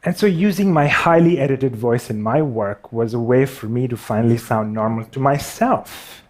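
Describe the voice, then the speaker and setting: A man's voice: medium pitch, normal speed. A man with a Pakistani accent tells personal stories as a public speaker, in a self-deprecating manner that halts in places. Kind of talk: personal storytelling talk to an audience.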